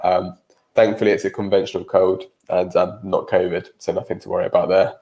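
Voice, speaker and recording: A man's voice: low-pitched, flat delivery, brisk, vocal fry, warm tone. A British man with a cold and a nasal voice, giving a live-streamed career webinar presentation with frequent ums.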